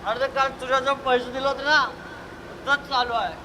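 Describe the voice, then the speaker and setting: A man's voice: very high-pitched, steady tone, a little fast, noisy background. A young man on an amateur stage playing a hapless errand-runner who stalls with repetitive explanations in a comic play. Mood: insistent. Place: school stage event, live telecast.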